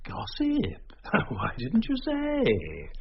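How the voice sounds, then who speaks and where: Casting: self-important talent agent, highish voice, swooping delivery, tetchy, gossipy, radio play, dull sound. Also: male voice, extremely slow, vocal fry, slight background noise, heavily acted. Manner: pompous, jargon-laden, animated.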